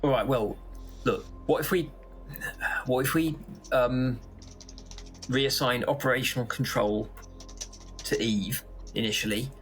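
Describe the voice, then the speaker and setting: A man's voice: mid-range voice, a little slow, pauses often, soft background music, close to an everyday voice. Man playing a flustered, stammering team member. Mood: uncertain, concerned. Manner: stammering, repeating himself. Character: flustered male team decision-maker, uncertain, conciliatory.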